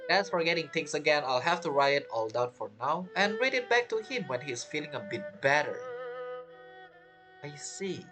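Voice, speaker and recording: A man giving casual game commentary and reading text aloud in a halting way, reacting as he goes. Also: a little high, expressive, over music, poor audio. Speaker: gaming streamer.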